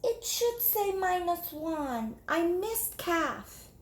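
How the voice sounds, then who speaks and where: A woman's voice: very high voice, sing-song, drawn-out words, pitched above the performer's own voice, full character voice. A woman voicing an earnest, anxious young schoolgirl in a storybook, with a plaintive, hesitant manner. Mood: sheepish, sorry. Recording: echoey room.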